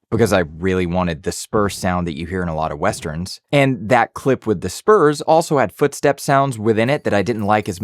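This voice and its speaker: A man's voice: fairly low pitch, no echo. A man giving an upbeat, direct tutorial to camera as an audio educator, recorded on a studio condenser mic. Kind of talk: casual tutorial talk to camera. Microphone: studio condenser mic on arm.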